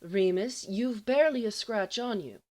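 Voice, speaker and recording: A woman's voice: mid-range voice, normal speed, a little mellow, natural voice. A woman voicing a sceptical school matron in an audiobook, firm and coaxing, trying to sound reassuring. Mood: probing. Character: stern but soothing, sceptical school matron. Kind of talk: audiobook character voice.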